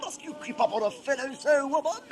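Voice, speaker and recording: Man acting a high, pompous, flustered lord. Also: expressive, fairly quick, background noise. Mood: indignant. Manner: pompous, indignant. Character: haughty, flustered English lord in 1605. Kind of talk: audio drama acting.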